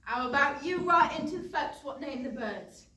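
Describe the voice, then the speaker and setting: A woman's voice: high voice, expressive, moderate pace, bright, forceful tone. A woman acting a seasoned, kindly castle guard who teases and explains in colloquial speech, in a staged comedy reading. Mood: frank, kindly. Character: seasoned, chatty, bird-loving castle guard, teasing but kindly. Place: indoor studio theatre. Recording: roomy, distant stage pickup, faint noise.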